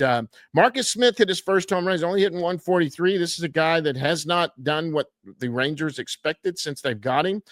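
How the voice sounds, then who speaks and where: A man's voice: on the high side, fairly bright tone, no echo. A man hosting a podcast, recapping minor league baseball in a casual, folksy way, full of fillers and stumbles over players' names. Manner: self-deprecating, folksy.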